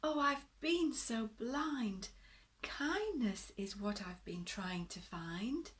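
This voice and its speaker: A kind little storybook fairy girl, acted by a woman narrator, speaks with tender, earnest reassurance. The pace is slow and there is faint background noise. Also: fairly high pitch, animated, fairly bright tone. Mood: moved, relieved.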